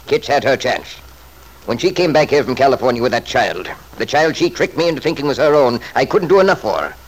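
A man's voice: mid-range voice, animated, a little fast, lightly acted, low-quality recording. An actor playing a selfish, scornful wealthy father in an old-time radio drama, blustering and sarcastic. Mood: defensive.

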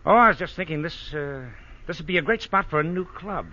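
A man's voice: on the high side, animated, brisk, bright, forceful tone. A man playing a cocky, cruel racketeer in old radio crime drama, talking in a mocking, dismissive way. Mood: musing. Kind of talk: 1940s-50s radio crime drama acting. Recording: dull, noisy old radio broadcast.

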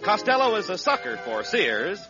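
A man's voice: high voice, wildly swooping pitch, moderate pace, music in the background. A man reading scripted announcements in the formal style of a 1940s radio announcer. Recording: dull old radio broadcast recording.

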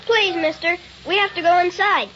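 A woman's voice: very high voice, fairly even intonation, normal speed, sharp tone. A woman playing an eager, pleading young schoolboy in an old-time radio drama, polite but persistent. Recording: muffled, noisy old radio recording.

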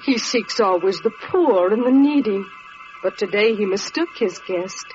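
Woman acting a slow-spoken gentle mother. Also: medium pitch, animated, soft background music, natural voice. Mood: apologetic, tender. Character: gentle, apologetic mother of a young boy. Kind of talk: old-time radio drama acting. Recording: dull vintage radio recording.

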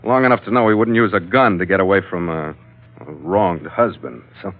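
An actor playing a tough, wry, loyal ship's skipper in a hard-boiled classic radio drama. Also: male voice, low-pitched, moderate pace, dry recording. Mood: wary, defiant. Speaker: lead actor and first-person narrator. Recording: dull vintage radio recording with music.

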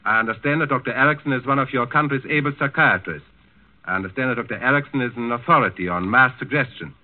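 A man's voice: mid-range voice, fast talker, lightly acted. A man acting a stern, cold Nazi officer who questions formally and imperiously in a 1940s radio drama. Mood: impatient, commanding. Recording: muffled 1940s radio broadcast recording.

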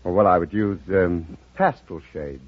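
A man's voice: low voice, measured pace, no echo. A man answering questions earnestly and a bit hesitantly as a quiz show contestant. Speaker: quiz contestant, interior decorator. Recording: dull old radio recording, faint noise.

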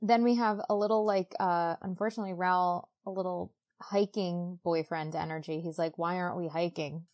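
A woman's voice: fairly low pitch, steady tone, moderate pace, hardly any pauses, a little mellow. A woman co-hosting a podcast, recapping a book casually and acting out dialogue in informal modern slang. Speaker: podcast co-host.

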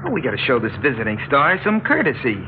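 Cocky, swooping young gang leader. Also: male voice, medium pitch, wildly swooping pitch, quick pace. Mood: taunting. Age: young adult. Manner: taunting, mock-polite, slangy. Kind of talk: 1960s radio drama acting. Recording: muffled, noisy old radio recording.

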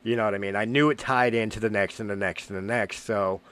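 A man's voice: fairly low pitch, steady tone, fast, fairly bright tone, clean tone. A man in his forties, getting over a cold, giving a rambling casual review with lots of filler words and self-corrections. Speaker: fan reviewer.

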